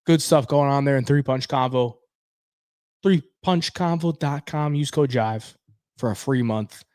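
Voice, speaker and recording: A man's voice: medium pitch, no echo, clean studio sound. A man hosting an MMA betting show, chatting casually with viewers and reading their comments in a laid-back, slangy way, sounding stuffy as he loses his voice. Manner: laid-back, slangy, says "bro" often. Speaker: MMA betting podcast host.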